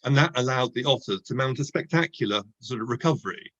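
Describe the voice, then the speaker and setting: Male author guest, Oxford accent, thoughtful and halting; muffled call audio. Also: medium pitch, lively intonation, fairly bright tone. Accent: Oxford English. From Oxford, England. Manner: thoughtful, hesitant with many ums and restarts. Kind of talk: relaxed interview talk.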